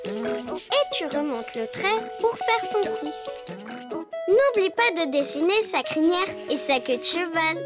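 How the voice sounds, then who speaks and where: Small excitable cartoon sidekick: squeaky-high, swooping, drawn-out delivery, eager and dramatic. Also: heavily acted. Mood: eager, instructive. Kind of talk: preschool cartoon dialogue. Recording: music behind the voice.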